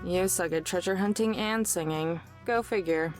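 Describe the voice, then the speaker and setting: A woman playing a sullen, sardonic young woman in a video game, with a flat, deadpan, creaky delivery. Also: lowish voice, moderate pace, close to an everyday voice. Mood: scornful. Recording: guitar music behind.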